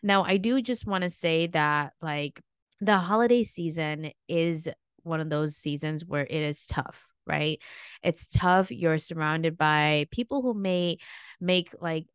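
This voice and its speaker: An upbeat woman hosts her podcast in a chatty, casual way, often tagging on "like" and "okay?". Her voice is low for a woman and nasal from a stuffy nose, and the recording is very dry and close. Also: slow, clear, resonant tone, no room sound. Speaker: podcast host and weight loss coach. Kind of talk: casual solo podcast talk.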